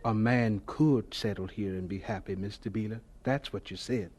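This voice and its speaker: An actor playing an earnest, dreamy young homesteader in a radio drama, with a wistful, folksy, heartfelt way of talking. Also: male voice, on the low side, normal speed, heavy background noise, lightly acted. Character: earnest, dreamy young homesteader, later recalling his life.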